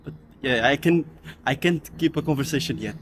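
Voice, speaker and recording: A man's voice: mid-range voice, fairly quick, a little hiss or hum. A man from Portugal with a Portuguese accent, a native Portuguese speaker, answering a street interview outdoors. He hesitates often and restarts his sentences. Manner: hesitant, repeats words and restarts.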